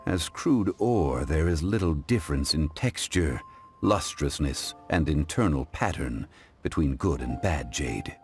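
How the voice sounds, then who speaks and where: An actor playing a calm, refined gentleman connoisseur in a video game, speaking in a formal, measured, lecturing way. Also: a bass voice, heavily acted. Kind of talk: English-dubbed video game acting.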